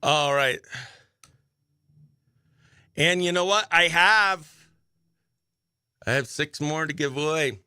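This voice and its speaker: Bright-voiced man hosting morning radio. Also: a little high, slow, long pauses, clean tone, no echo, clean studio sound. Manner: informal slangy, exclaims dude and oh heck. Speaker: radio morning show host. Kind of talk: upbeat casual radio contest banter. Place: small radio studio, close dry mic.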